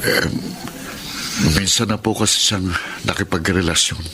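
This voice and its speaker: An actor playing a strict, protective young older brother in a Filipino radio drama. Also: male voice, deep voice, steady tone, a little slow, background noise. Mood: respectful.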